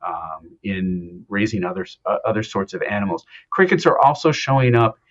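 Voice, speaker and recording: Low-voiced professor explaining, muffled audio. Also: male voice, normal speed. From Ohio, United States. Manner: explanatory, hesitant with many ums. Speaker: anthropology professor, guest. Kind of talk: conversational interview.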